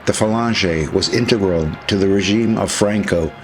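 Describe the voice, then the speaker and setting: A man's voice: on the low side, normal speed, soft background music. A man reading scripted historical narration as a documentary narrator.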